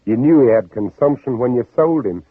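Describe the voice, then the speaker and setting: An actor playing a hunted, guilt-ridden Southern gentleman who narrates his own flight in a theatrical old radio drama. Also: mid-range voice, animated, a little fast, slightly rough. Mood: uneasy guilt. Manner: theatrical, dramatic, intense delivery. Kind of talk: 1950s radio drama, second-person narration. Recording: muffled old radio broadcast recording.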